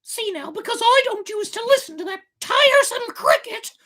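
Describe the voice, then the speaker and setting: A woman voicing a naive, stubborn little boy puppet in a squeaky, childishly dramatic voice for a storybook reading. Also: lively intonation, normal speed, fairly bright tone. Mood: stubborn, indignant. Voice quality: squeaky, shrill.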